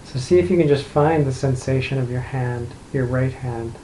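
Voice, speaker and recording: A man leading an unscripted guided meditation, speaking gently and unhurriedly with long pauses between phrases. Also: mid-range voice. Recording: roomy echo, light background noise.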